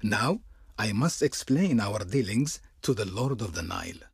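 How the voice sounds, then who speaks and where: Pompous, husky Egyptian court envoy. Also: male voice, on the low side, expressive, a little slow, no room sound, studio quality, heavily acted. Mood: grateful, ceremonious. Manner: pompous, ceremonious, obsequious. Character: servile, smooth-tongued Egyptian diplomat of Pharaoh, pompously reverent. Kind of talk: theatrical video game voice acting.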